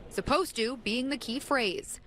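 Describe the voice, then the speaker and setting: Slightly high-voiced American woman news reporter. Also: measured pace, sharp tone. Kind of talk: scripted news package narration. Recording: faint background noise.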